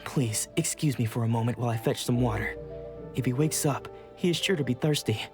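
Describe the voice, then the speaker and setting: A male actor plays a young sword disciple in a video game, earnest and worried. He has a medium-pitched voice and a polite, solemn way of explaining. Music is clearly audible behind him. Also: a little fast, close to an everyday voice. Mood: relieved, hopeful.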